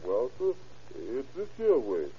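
An actor playing a folksy, simple, evasive young country soldier in a radio drama, very raspy, with a Southern US accent and rustic drawl. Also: male voice, mid-range voice, very animated, unhurried, warm tone, heavily acted. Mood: meek, evasive. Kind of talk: classic radio drama acting. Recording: dull, lo-fi old radio recording.

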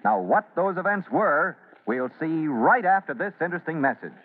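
A man's voice: on the high side, sing-song, moderate pace. A man narrating a radio drama as its announcer, in an emphatic, declamatory old-time broadcast style. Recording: tinny, faintly noisy vintage radio recording.

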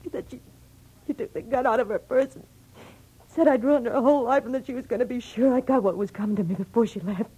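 High-voiced femme fatale, husky and breathy, fragile yet desperate, halting 1940s radio melodrama style. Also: female voice, dark tone, rough recording. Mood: shaken, explaining. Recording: vintage radio drama.

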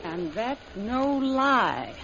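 An actress playing a cool, scheming adventuress in 1930s radio style, with a sultry, taunting manner. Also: female voice, fairly high pitch, very animated, very slow, a bit bright, exaggerated voice. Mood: urgent. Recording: muffled, noisy old radio recording.

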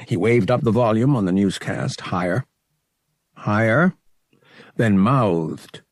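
A man's voice: on the low side, a little mellow, husky, dry recording, studio quality. A man reading a story as an audiobook narrator, in a scripted dramatic style.

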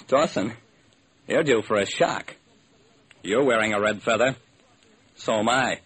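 A man's voice: on the low side, slow pace, fairly bright tone, breathy edge, full character voice. An actor playing a hardboiled American private detective who wryly narrates his own case in an old-time radio drama. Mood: wry, intent. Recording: noisy old radio recording.

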